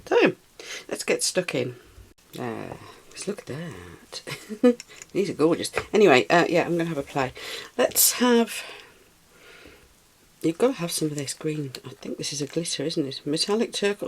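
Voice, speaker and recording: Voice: medium pitch, big pitch swings, normal speed, breathy edge. A British resin craft tutor who sounds a little snuffly and chats while working, thinking aloud and cooing over the materials. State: slightly snuffly, recovering from a cold. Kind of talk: casual hands-on craft tutorial.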